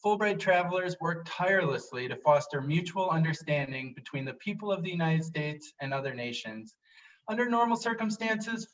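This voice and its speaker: A man's voice: on the high side, fairly bright tone, far from the mic, dull audio. A man hosting a live stream, introducing a guest in an upbeat, announcer-like delivery over a video call.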